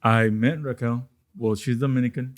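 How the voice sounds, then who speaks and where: A man from Honduras, a podcast guest, telling family stories in a relaxed, casual way with occasional laughs. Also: medium pitch, moderate pace. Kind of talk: casual storytelling.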